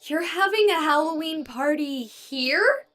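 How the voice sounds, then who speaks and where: A woman's voice: high voice, animated, unhurried, fairly bright tone. An actress playing a sassy, easily spooked young woman streaming her ghost hunt in a horror game, with a dramatic, whiny delivery. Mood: puzzled.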